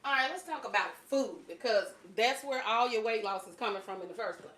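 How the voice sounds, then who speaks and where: A woman's voice: a little high, animated, fairly quick, fairly bright tone. A woman in her late forties hosting a casual livestream, chatting loosely with frequent fillers and laughs. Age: 40s. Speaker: fitness channel host.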